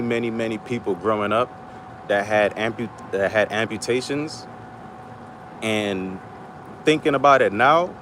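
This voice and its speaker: A man's voice: lowish voice, noisy background. A middle-aged man from Trinidad with a Trinidadian accent, rambling bluntly and swearing freely on a casual livestream. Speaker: livestream host.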